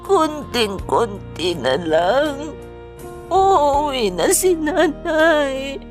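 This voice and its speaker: A woman playing an anguished, bitter grieving mother in a Tagalog radio drama, with a drawn-out, emotional delivery. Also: high-pitched, big pitch swings, soft background music, heavily acted. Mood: anguished grief.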